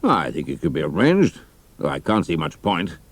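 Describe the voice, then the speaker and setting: A senior British army major in an audio drama. His British voice sits on the low side and swings expressively in pitch, yet his manner stays measured and dry. The sound is tight, with no room echo. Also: wildly swooping pitch, exaggerated voice. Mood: measured, matter-of-fact.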